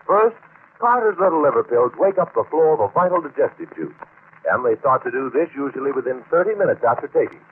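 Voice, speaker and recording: A man's voice: mid-range voice, big pitch swings, fast talker. A man delivering a scripted 1940s radio commercial as an announcer. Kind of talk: 1940s radio advertising pitch. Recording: tinny, noisy old-time radio recording.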